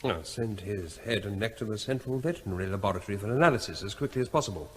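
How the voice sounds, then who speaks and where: An actor playing a brisk, curt veterinary officer who takes command in a radio drama. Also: male voice, on the low side, lively intonation, long reverb tail, close to an everyday voice. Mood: brisk, firm.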